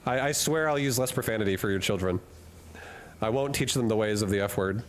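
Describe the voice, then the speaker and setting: A man's voice: on the low side, a little fast, slight background noise. A man streaming a game from a hot room at home, chatting casually and rambling through jokes while he reads chat and laughs often.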